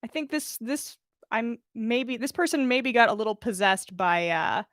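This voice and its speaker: A woman's voice: fairly high pitch, expressive, moderate pace, fairly bright tone, dry recording. A woman hosting a podcast livestream, informal and giggly, chatting and reading fan fiction aloud close to the mic. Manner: giggly, informal, swears casually. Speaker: podcast host. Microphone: close mic with pop filter.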